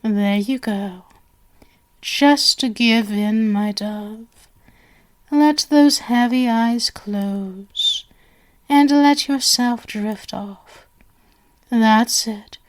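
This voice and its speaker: A woman's voice: a little high, drawn-out words, long pauses. A woman playing a genial but sinister stage magician, possessive and doting, with flowery, coaxing endearments in an ASMR roleplay. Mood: soothing, sinister.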